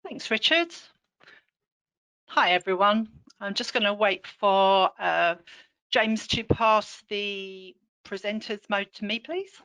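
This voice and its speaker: A woman trainer with a hoarse, croaky throat, leading an online teaching session in an upbeat, encouraging way. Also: medium pitch, slow, sharp tone, rough recording. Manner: upbeat, encouraging, clear explaining.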